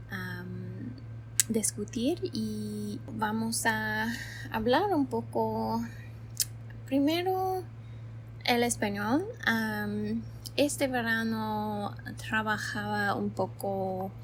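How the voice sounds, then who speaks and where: A young woman with an English-speaker's accent talks very slowly, pausing to search for words and filling gaps with "um" and small laughs. Her voice sits at an ordinary middle pitch. A faint hum runs underneath the recording. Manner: slow and halting, searching for words with many fillers; laughs often while talking. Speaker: language-learning vlogger. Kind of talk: casual chat to camera.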